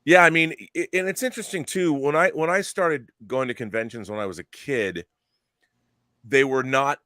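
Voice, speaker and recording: A man's voice: mid-range voice, sharp tone, dry recording, clean studio sound. A middle-aged man from the Seattle area, hosting a casual podcast chat. He rambles and often restarts his sentences. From Seattle area, United States. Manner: rambling, halting, frequent restarts and fillers. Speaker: podcast host.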